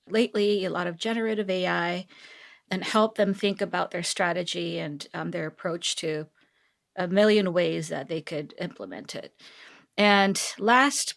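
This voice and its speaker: A woman's voice: mid-range voice, gravelly. A pregnant woman in her thirties from South Korea, a tech executive talking earnestly and reflectively as a podcast guest, with frequent light laughs. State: heavily pregnant. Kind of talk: podcast interview.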